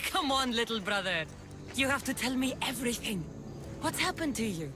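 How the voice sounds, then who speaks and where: A woman's voice: a little high, very animated, normal speed, over music. An actress playing a bold warrior woman coaxing her estranged younger brother in a video game cutscene. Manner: determined, coaxing. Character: bold female warrior, eager older sister.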